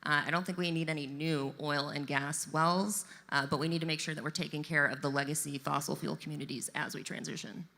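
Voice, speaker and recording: A woman political candidate answering debate questions in a brisk, conversational way. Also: low voice, fairly even intonation, vocal fry, sharp tone. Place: live debate in a large event venue. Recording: long reverb in a large venue.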